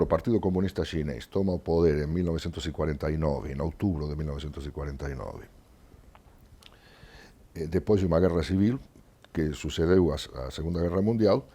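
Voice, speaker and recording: An older Argentine-accented man, a native Spanish speaker, speaks in a very deep, mellow voice. He explains things discursively as an interview guest, often tacking a short question onto his sentences to check he is followed. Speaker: guest historian and professor. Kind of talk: conversational interview, explaining history.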